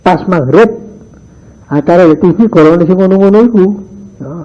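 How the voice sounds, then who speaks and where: An older man leads a morning lesson for boarding school students. His voice sits fairly high, and he drifts into phrases and acts out short conversations. The recording is muffled and lo-fi. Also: moderate pace, warm tone. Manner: mixes in Javanese phrases, acts out dialogues in his stories. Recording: dull lo-fi archive recording.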